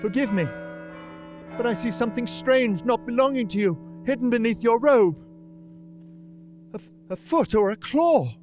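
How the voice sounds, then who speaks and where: High-voiced, frightened old miser played by a man. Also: lively intonation, normal speed, long pauses, darkish tone, soft background music. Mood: anxious pleading. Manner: hesitant, earnest, theatrical. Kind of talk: stage radio play acting. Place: live performance in a church.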